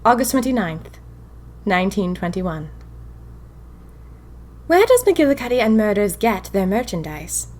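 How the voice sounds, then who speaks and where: A woman playing a whimsical young 1920s typist who confides in her diary, narrating audio fiction in a wry, expressive way with dramatic pauses. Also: mid-range voice. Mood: intrigued, smitten.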